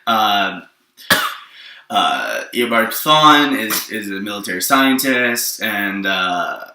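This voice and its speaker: A man's voice: fairly low pitch, very animated, slow, pushed and bright, slight background noise. A man rambling through a casual, improvised story explanation, often checking that his listener follows. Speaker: storyteller presenting his own superhero story. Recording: roomy echo, distant from the mic.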